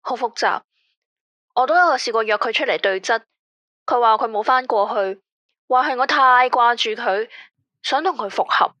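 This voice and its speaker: A woman's voice: on the high side, normal speed, pauses often, bright, forceful tone, dry recording, lo-fi sound. A woman playing a tense, weary caller. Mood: uneasy. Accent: Hong Kong Cantonese. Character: female caller, anxious about a controlling ex-husband. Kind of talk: radio drama acting.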